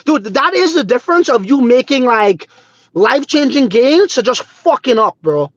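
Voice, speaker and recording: A man from Trinidad, hosting a casual crypto livestream on his phone, excitable and swearing freely, peppered with "bro" and "dude". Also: very high voice, normal speed, no echo. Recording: slightly dull phone audio.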